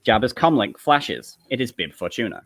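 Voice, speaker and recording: A man's voice: medium pitch, big pitch swings, moderate pace, creaky, a bit bright. A man reading stage directions as narrator in a live comedic table read, with an amused manner.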